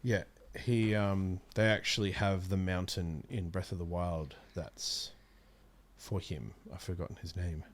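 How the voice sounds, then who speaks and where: A man with a fairly low voice talks casually as a guest in a gaming chat. He explains things in a halting way, rephrasing as he goes. He is close to the microphone in a small room. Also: fairly even intonation. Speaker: interview guest, amateur voice actor. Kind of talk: casual chat about video games. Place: small indoor streaming room. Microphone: close studio microphone.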